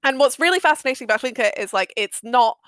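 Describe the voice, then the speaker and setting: A woman with a fairly high voice talks casually in a dry, close recording. She is opinionated and emphatic, rambling through her points and happy to swear. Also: lively intonation, fast talker, fairly bright tone, no room sound. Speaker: audio drama maker. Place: live online group stream.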